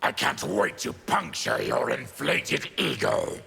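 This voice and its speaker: Fierce game monster warrior: very gravelly, wildly swinging pitch, proud and bitter, formal speech. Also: male voice, big pitch swings, slow, very noisy, heavily acted. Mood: angry. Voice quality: gravelly, growling. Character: fierce, embittered male monster warrior, proud former army tribune. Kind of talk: video game character dialogue.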